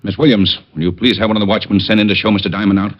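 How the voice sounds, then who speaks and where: An actor playing an irritable ex-convict crime boss posing as an executive in a radio drama, curt and commanding. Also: male voice, lowish voice, flat delivery, very fast, lightly acted. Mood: indignant. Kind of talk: old-time radio villain acting. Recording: dull vintage radio recording.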